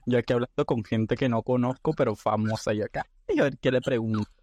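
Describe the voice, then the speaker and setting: A man's voice: mid-range voice, fast, a little mellow, dry recording, lo-fi sound. Playful male co-host, joking theatrical banter, mid-range voice, dry close sound. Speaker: podcast co-host. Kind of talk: casual podcast chat.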